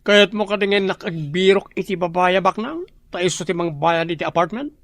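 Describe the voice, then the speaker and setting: A man's voice: high-pitched, brisk, a bit bright, no echo. An actor playing a boastful, greedy young man in an Ilocano radio drama, with an Ilocano accent and a smug delivery.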